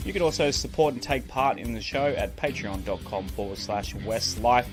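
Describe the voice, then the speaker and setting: Man, scripted outro, steady read, guitar music behind. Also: medium pitch, moderate pace. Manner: steady, promotional. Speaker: podcast outro announcer. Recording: voice over music bed.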